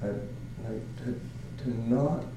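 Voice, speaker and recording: An older man from Canada giving a Buddhist talk as a monk, halting and searching for words with many fillers and soft chuckles. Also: mid-range voice, very echoey, noisy background. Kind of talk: dharma talk.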